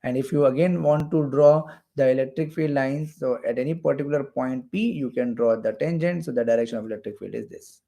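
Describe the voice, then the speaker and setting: Gravelly male physics teacher. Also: mid-range voice, a little fast, hardly any pauses, dark tone. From India. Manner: repeats phrases for emphasis. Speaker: physics teacher for exam preparation. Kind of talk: explanatory lecture while drawing diagrams.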